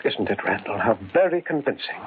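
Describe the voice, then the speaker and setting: An actor playing a treacherous doctor who feigns sorrowful concern, measured and solicitous in old-time radio drama style. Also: male voice, mid-range voice, sing-song, lightly acted. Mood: false concern. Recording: muffled, very noisy old radio recording.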